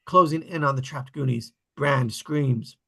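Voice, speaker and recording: A man's voice: medium pitch, unhurried, sharp tone. A man reading screenplay stage directions as scripted narration at a table read.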